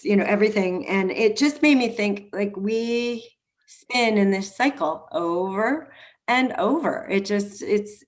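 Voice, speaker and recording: Lower-voiced woman, reflective and halting. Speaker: host of an online discussion after a play reading. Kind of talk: casual discussion on a video call. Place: indoors, on a video call. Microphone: video call microphone.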